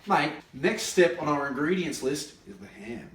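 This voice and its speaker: A brash young Australian man hosts a mock cooking show with a slightly high voice. He plays the professional and flares up at his helper. The echoey room and the mic some way off keep him distant. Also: lively intonation, moderate pace, fairly bright tone, slight background noise. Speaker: comic cooking show host.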